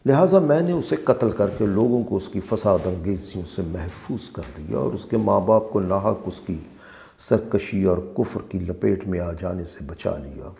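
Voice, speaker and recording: A man reading a religious translation aloud as scripted narration, in a measured, deliberate way with emphasis on phrases. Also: deep voice, expressive, vocal fry, warm tone. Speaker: translator and reciter of a Quran translation.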